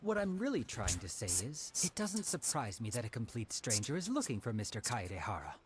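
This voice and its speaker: A man's voice: medium pitch, big pitch swings, a little fast, a little hiss or hum, natural voice. An actor playing a friendly, chatty male detective agency worker in a video game, talking eagerly.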